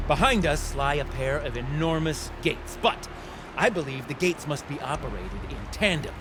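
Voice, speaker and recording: Actor as an eccentric, chatty old tinkerer, swooping theatrically. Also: male voice, a little high, lively intonation, moderate pace, noisy background, close to an everyday voice. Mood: eager. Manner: verbose, flowery, theatrical phrasing. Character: chatty, eccentric older male tinkerer and treasure hunter, excitable. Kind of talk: video game character acting.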